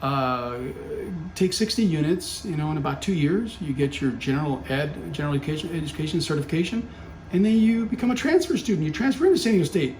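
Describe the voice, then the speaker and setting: A man's voice: fairly high pitch, animated, fast, darkish tone. Rambling man telling a life story. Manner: rambling with many fillers and self-corrections. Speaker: podcast guest, company founder. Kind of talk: casual storytelling. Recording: roomy echo with faint background hum.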